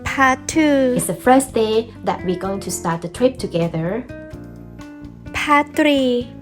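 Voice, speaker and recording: Young woman, Thai accent, chatty and upbeat vlog teacher, soft music underneath. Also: on the high side, expressive, takes it slowly. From Thailand. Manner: cheerful, chatty, laughs often. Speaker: language teacher vlogger. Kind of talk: casual video lesson.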